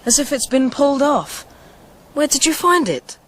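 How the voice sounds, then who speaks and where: A young male actor playing an earnest, imperious noble boy in a radio drama, with a youthful, breathy voice and formal, insistent delivery. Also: very high-pitched, big pitch swings, normal speed, a bit bright, slight background noise, heavily acted. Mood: earnest, anxious. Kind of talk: British radio drama acting.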